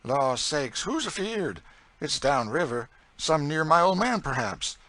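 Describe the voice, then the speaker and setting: A man's voice: a little high, animated, moderate pace, bright, forceful tone, no room sound, voice pushed up. A man voicing an eager, motherly enslaved woman cook in an audiobook, with a folksy dialect and exclamatory delivery. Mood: confident. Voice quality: folksy dialect speech with dropped sounds. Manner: exclamatory, emphatic. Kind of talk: audiobook character dialogue.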